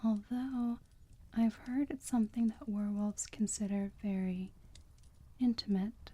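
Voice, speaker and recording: A woman playing a gentle, protective, motherly vampire in a whispered ASMR roleplay, speaking softly and soothingly in a breathy voice close to the mic. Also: mid-range voice, fairly even intonation, lightly acted. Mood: tender, curious. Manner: soft, slow, cooing, soothing.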